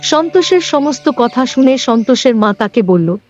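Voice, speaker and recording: Casting: female storyteller for cartoon tales, higher-pitched, scripted narration, slightly muffled with soft music. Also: lively intonation, moderate pace.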